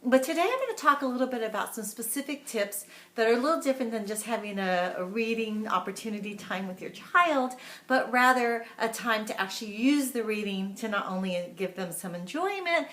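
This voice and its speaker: A woman with a fairly high voice talks like a teacher explaining tips to parents. Her delivery is animated and emphatic, and the room around her adds a clear echo. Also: fairly quick. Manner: animated, emphatic, acts out example questions. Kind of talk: instructional talk to camera. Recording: echoey room sound.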